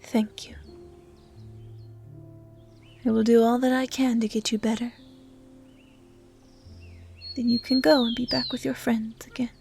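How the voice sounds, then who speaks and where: A woman voicing a gentle, curious young dryad who tends the forest, speaking in a soft, breathy near-whisper in an intimate ASMR roleplay style. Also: a little high, flat delivery, moderate pace, soft background music. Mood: tender, caring. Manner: hushed, breathy, caring delivery, often near whispering.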